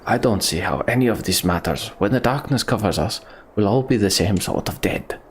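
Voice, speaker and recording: A man voicing a bleak, fatalistic male warrior in a game, flat and weary. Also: on the low side, moderate pace, slight background noise, natural voice.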